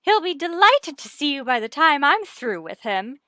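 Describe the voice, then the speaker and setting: An actress playing a chatty, gushing, meddling matchmaker wife in a vintage radio sitcom. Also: female voice, high voice, big pitch swings, moderate pace, bright, forceful tone, dry recording, studio quality, heavily acted. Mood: scheming, eager. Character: chatty, meddling married matron, eager matchmaker.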